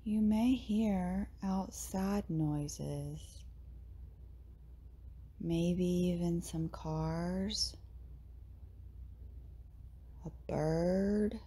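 A woman leading a guided meditation in a soft, breathy, often near-whispered voice, gently soothing, with long pauses between short phrases. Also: on the low side. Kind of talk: guided meditation, slow and hushed.

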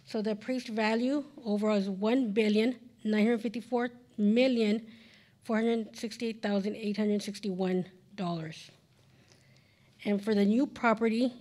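A woman's voice: mid-range voice, fairly even intonation, warm tone. Woman reading figures at a podium. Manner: halting, many fillers and self-corrections. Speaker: school district finance officer. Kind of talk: reading a public notice at a board hearing. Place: large council chamber, indoors. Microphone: podium microphone.

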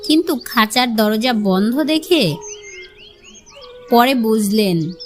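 A woman's voice: on the high side, animated, slow pace, soft background music. A woman storyteller narrating a scripted fable for children.

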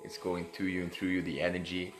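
A man leading an improvised guided meditation in a halting way, repeating words and drawing out phrases. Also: low voice, completely flat delivery. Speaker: meditation guide, host of a live session. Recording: echoey room, clear background noise.

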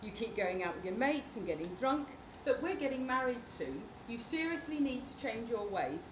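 A woman's voice: on the high side, big pitch swings, normal speed. A British woman playing an exhausted, nagging fiancée and young mother in an amateur radio play. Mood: reproachful. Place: large conference room before an audience. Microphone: handheld or stand microphone. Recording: echoey hall with clear background noise.

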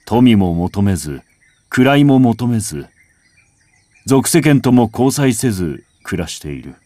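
A man reciting a literary first-person text as a scripted narration, in a measured, contemplative way with dramatic phrasing. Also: fairly low pitch, dark tone, no room sound. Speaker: audiobook reader. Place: home recording.

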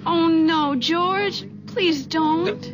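A woman's voice: very high voice, extremely slow, over music, exaggerated voice. An actress playing an anxious young engaged woman in a radio drama, speaking in a hesitant, pleading way. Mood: pleading, frightened. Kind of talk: 1970s radio drama acting. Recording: noisy old radio recording.

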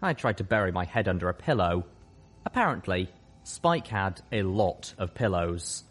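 A man's voice: low-pitched, expressive, normal speed, breathy edge. A man reading a scripted first-person story aloud as an audiobook narrator. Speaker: audiobook reader.

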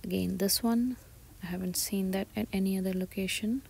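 A woman shopping vlogger giving soft, breathy, often half-whispered ASMR-style commentary as she describes items one by one inside a store. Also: mid-range voice, fairly even intonation, fairly quick. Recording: store ambience noise behind soft voice.

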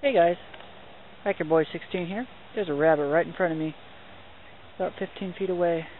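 A young man with a fairly high voice talks through a night hike, excited and chatty as he spots animals. The sound is thin and tinny, like a cheap handheld camera mic. A faint hiss sits under the whole outdoor recording. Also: normal speed. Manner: excited, chatty, mild minced oaths. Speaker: hiking vlogger. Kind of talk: hushed running commentary while filming.

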